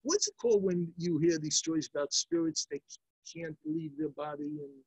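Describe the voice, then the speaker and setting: An older man teaching a daily Talmud class, reading passages and explaining them as lively stories with asides, recorded on a laptop webcam in a study room. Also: a little high, moderate pace, breathy edge, dry recording, poor audio. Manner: lively storytelling with big hand gestures and asides. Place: indoor study room lined with bookshelves. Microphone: laptop webcam, seated close to the screen.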